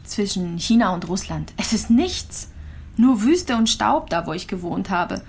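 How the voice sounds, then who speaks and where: An actress playing a gravely ill, resigned immigrant woman in a radio drama, speaking simply and dreamily. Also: medium pitch, sing-song, unhurried, darkish tone, exaggerated voice. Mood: quiet wonder. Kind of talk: radio drama dialogue and monologue.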